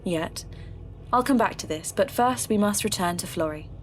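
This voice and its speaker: An actress playing an inquisitive, considerate podcast host, composed and gently probing in an audio drama. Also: female voice, medium pitch, moderate pace, a little hiss or hum, natural voice, poor audio. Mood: composed, intrigued. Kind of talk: scripted podcast narration and interview.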